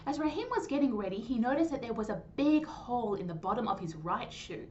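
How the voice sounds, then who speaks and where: Female children's storyteller, animated and high-pitched with very lively intonation, slightly dull recording. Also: a little fast. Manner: animated, clearly phrased storytelling. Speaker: storytime host and reader. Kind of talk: expressive children's story reading. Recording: dull lo-fi sound, faint noise.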